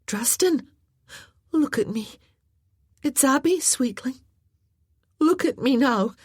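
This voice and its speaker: A woman's voice: sits high, slow pace, a little mellow, no echo, studio quality, pitched above the performer's own voice. Maggie, a woman doing a brave young bride. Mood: gentle, coaxing. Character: young Highland bride, frightened but brave.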